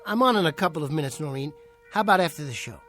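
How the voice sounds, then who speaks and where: An actor playing an uneasy nightclub ventriloquist in a radio play. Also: male voice, fairly high pitch, lively intonation, rapid-fire, sharp tone, soft background music. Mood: troubled. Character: uneasy, troubled nightclub ventriloquist doing straight-man patter.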